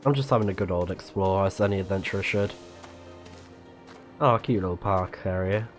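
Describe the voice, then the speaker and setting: A man's voice: low-pitched, moderate pace, faint music behind. A man giving casual let's play commentary, reading on-screen text aloud and murmuring reactions as he plays. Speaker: streamer playing a video game.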